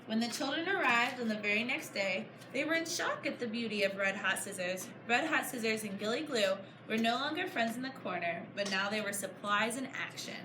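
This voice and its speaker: High-voiced woman reading aloud, echoey room. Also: fairly quick, few pauses. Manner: encouraging, questioning teacher-like delivery. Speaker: author reading her book to a class. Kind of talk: storybook read-aloud with questions to children. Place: classroom. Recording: echoey room, clear background noise. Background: children answering, room noise.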